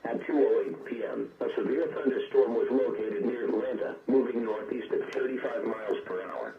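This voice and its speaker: A synthetic-sounding man's voice reading formal emergency weather bulletins in a measured, impersonal way, as an automated radio announcer. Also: medium pitch, far from the mic, over a noisy crowd. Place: weather radio broadcast picked up on a receiver. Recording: muffled, noisy, echoey weather radio broadcast.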